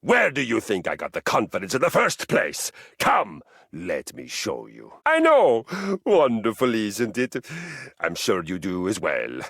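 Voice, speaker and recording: Game role: vain, jovially bellicose male Viking warrior. Gravelly voice, unhurried grandiose delivery. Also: fairly low pitch, huge pitch swings, a bit bright, no room sound, studio quality, exaggerated voice. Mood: self-admiring. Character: boastful, battle-hungry male Viking warrior, a personified treadmill. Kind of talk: theatrical video game character acting.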